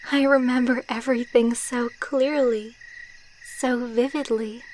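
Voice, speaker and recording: ASMR roleplay: actress as female vampire lover, ageless and sentimental. Fairly high, gentle, intimate delivery, taken very slowly. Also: a little hiss or hum. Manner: soft, intimate, unhurried, sentimental. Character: tender, wistful immortal female vampire girlfriend.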